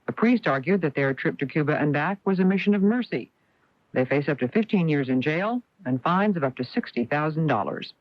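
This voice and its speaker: A man's voice: fairly high pitch, dry recording. An American man reading a scripted newscast as a radio anchor. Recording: dull lo-fi vintage AM radio.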